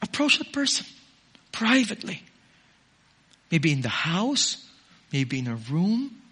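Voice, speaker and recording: A man preaching a sermon as pastor, moving from loud urging to soft, close asides. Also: on the high side, very animated, slow, husky.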